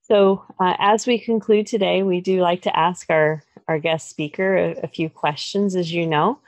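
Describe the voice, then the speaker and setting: A woman hosts an interview in a very low, gravelly voice. She speaks politely and in a measured way. The sound is dry and close, with no room echo. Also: fairly even intonation. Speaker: podcast host. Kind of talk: interview hosting.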